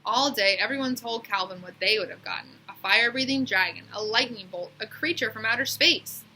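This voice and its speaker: A woman reading a children's story aloud as a storyteller, in an expressive, animated way that suits acting out dialogue. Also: on the high side, fairly quick, slight background noise, poor audio.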